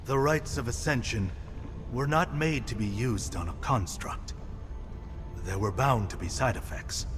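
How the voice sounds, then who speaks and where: An actor playing a genial, loyal male craftsman and mentor with a formal, expressive manner in a video game cutscene. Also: medium pitch, measured pace, lightly acted. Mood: grave. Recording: hall reverb, music behind.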